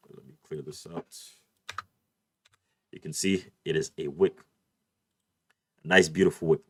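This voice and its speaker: Male trading tutor, very deep voice, slightly off after a cold, casual screen-share teaching. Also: no echo. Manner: repeats "beautiful" and "let's play this out", addresses "you guys". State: just over a cold, voice a bit off. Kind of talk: screen-share chart walkthrough.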